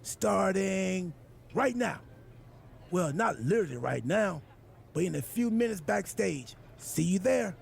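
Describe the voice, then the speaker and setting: Gravelly, high-voiced oblivious wrestling boss. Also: male voice, expressive, unhurried, slight background noise, heavily acted. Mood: cheerfully oblivious. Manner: rambling, absurdly literal, unbothered by insults. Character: quirky, oblivious wrestling general manager, cheerfully self-important man.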